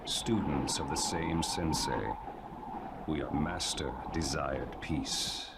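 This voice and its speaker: A man voicing a calm, cold, godlike villain in an anime video game, speaking solemnly and deliberately. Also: a bass voice, heavy background noise, exaggerated voice. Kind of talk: English anime game voice acting. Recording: loud music behind the voice.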